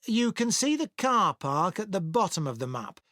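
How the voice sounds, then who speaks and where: A woman's voice: mid-range voice, big pitch swings, moderate pace, fairly bright tone, dry recording, clean studio sound. A woman playing a friendly, organised guide giving helpful explanations in a scripted listening-test monologue. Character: friendly organised tour guide briefing volunteers.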